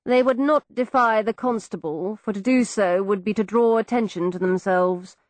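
Female narrator as aloof young gentleman detective, measured and lofty, dry studio sound. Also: medium pitch, dry recording, natural voice. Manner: slow, cool, superior.

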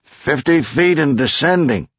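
Male narrator doing a helpful chopper pilot, pitched up a bit, husky, businesslike, audiobook style. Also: lively intonation, measured pace, fairly bright tone, no room sound, highs cut off, full character voice. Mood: matter-of-fact. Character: helpful, matter-of-fact male helicopter pilot.

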